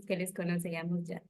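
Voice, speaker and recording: Paisa-accented woman guest, slow and cheerful. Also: lowish voice, creaky, dark tone. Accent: Colombian paisa. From Medellín, Colombia. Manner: cheerful, jokey.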